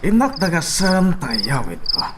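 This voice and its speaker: Very noisy radio drama track of a man playing a stern older Ilocano man who scolds a youth like a father. His pitch is on the high side and swings widely, and he speaks slowly and demandingly. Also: huge pitch swings.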